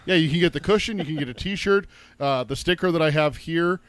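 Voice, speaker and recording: A man co-hosting a casual podcast, listing links and social accounts in a brisk, matter-of-fact way, just getting over a cold. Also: on the high side, a bit bright, no echo. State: recovering from a cold, coughing. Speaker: podcast co-host.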